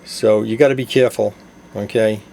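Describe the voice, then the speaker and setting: A man's voice: lowish voice, fairly even intonation, brisk, gravelly, a little mellow. A man giving a casual, plain-spoken hands-on product demonstration, explaining it step by step. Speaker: product demonstrator, CB radio gadget seller.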